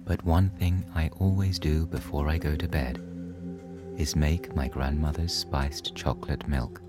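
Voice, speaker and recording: A man narrating a scripted bedtime sleep story in a soothing, hushed, unhurried storytelling manner. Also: a bass voice, steady tone. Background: soft relaxation music.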